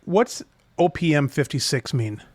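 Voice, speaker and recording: A middle-aged man hosting a podcast, asking his guest questions in a slow, drawn-out way. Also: on the high side, animated, a little mellow, dry recording. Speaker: podcast host. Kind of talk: casual interview questions.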